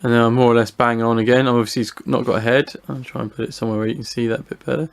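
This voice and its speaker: A man's voice: medium pitch, flat delivery, rapid-fire, creaky. A British man giving a casual, unscripted hobby review, talking through what he shows in a hesitant, rambling way, thinking aloud.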